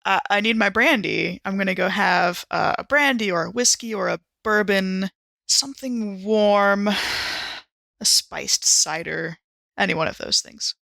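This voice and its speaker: A woman playing a tabletop roleplaying game in casual chat, playfully thinking aloud with halting phrases. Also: mid-range voice, gravelly, sharp tone, no echo. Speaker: player in an actual-play game show.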